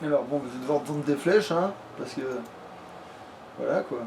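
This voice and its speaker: A man's voice: fairly high pitch, normal speed, creaky. A man commenting casually on his game, with crude joking slang. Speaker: let's player. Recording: noisy home recording.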